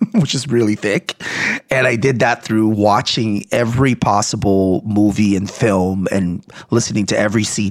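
A man in his forties, from the Philippines, talking earnestly and reflectively as an interview guest, often checking in with "right?" and soft laughs. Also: on the low side, no room sound, studio quality.

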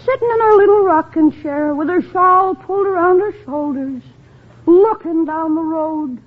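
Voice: very high voice, normal speed. An actor playing an eager, wheedling young schoolboy and little brother in an old-time radio comedy. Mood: pleading. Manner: pleading, exclaiming, wheedling. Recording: dull vintage radio recording.

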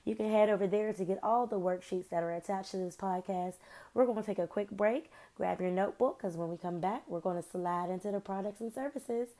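A woman's voice: medium pitch, a little fast, dark tone. A woman hosting her own podcast in a casual, chatty way, full of slang and rambling asides, her voice a little off from sinus trouble. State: recovering from sinus trouble, voice varies. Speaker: podcast host. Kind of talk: casual solo podcast chat.

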